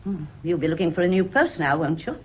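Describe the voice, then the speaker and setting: Husky, devoted servant woman. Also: fairly low pitch, animated, quick pace. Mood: pointed, probing. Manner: deferential yet pointed, sentimental. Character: devoted, prying, grieving woman house servant. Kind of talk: radio period mystery drama acting. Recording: dull, muffled old radio recording.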